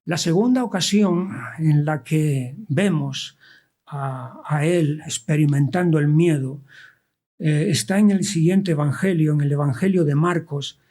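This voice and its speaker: Guy preaching w madrid spanish accent, pretty high voice, really slow n deliberate, reads bible verses. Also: mellow. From Spain, born in Andalusia and raised in Madrid. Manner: deliberate, with pauses and rhetorical questions. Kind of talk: expository sermon with scripture readings.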